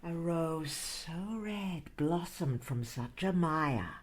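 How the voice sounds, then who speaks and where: Slow, haughty countess, low-voiced. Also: female voice, expressive, slight background noise. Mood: weary, musing. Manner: imperious, savouring, theatrical delivery with breathy whispers. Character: haughty, vain, bloodthirsty noblewoman, a fallen countess. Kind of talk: theatrical horror audio drama acting.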